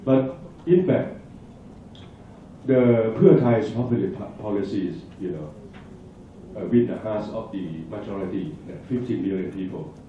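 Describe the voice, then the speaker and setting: A man from Thailand presenting at a conference, answering audience questions in a halting way with many fillers and restarts. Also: fairly low pitch, animated, a little hiss or hum. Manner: hesitant, halting, restarts words, frequent fillers. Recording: echoey hall, distant dull mic.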